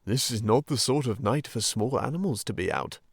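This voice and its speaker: A man reading a storybook and voicing a gruff, suspicious yet fatherly old male badger. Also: medium pitch, big pitch swings, takes it slowly, a bit bright, dry recording, clean studio sound, heavily acted. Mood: paternal.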